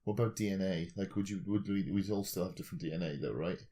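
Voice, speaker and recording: A man's voice: sits low, fast talker, dark tone. A man in a casual discussion, reasoning analytically aloud and posing rhetorical questions as a podcast co-host.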